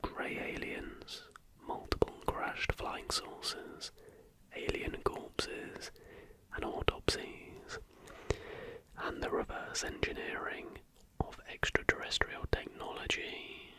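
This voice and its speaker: An ASMR host whispering throughout in a slow, unhurried way while presenting to listeners. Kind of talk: ASMR, softly whispered reading of facts with casual asides.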